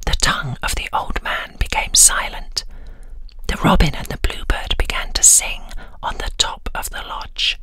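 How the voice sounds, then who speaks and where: A woman's voice: rumbling low, bright, forceful tone, husky, no room sound. A British woman narrating a scripted ASMR bedtime story close to the microphone. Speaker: voiceover artist, storyteller. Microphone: close, dry microphone.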